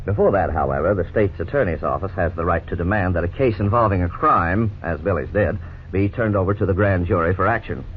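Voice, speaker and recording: A middle-aged man playing a lawyer who narrates and explains the case in a measured, formal way, in 1950s radio drama style. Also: low-pitched, lightly acted. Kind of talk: scripted narration. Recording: scratchy 1950s radio broadcast, tinny, faint hiss.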